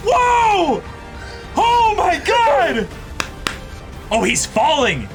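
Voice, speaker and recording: A man hosting a reaction show, commenting casually and excitedly, full of exclamations and swearing. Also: extremely high pitch, very slow, music in the background.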